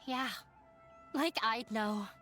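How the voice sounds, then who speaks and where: Brief: high-voiced weary young daughter, soft and resigned, game scene, soft music under. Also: female voice, slow. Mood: sad. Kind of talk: game dialogue voice acting.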